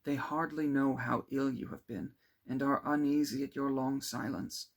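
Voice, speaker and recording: A man voicing a concerned, loyal young gentleman in an audiobook, urgent and earnest, with old-fashioned formal speech. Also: mid-range voice, fairly even intonation, normal speed, creaky, pitched above the performer's own voice. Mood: gently earnest.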